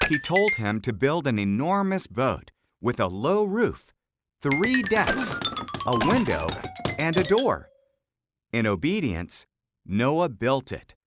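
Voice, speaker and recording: A narrator reading a children's Bible story in simple, measured short phrases, in a scripted storytelling style. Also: medium pitch, huge pitch swings. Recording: loud music under the voice. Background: loud background music.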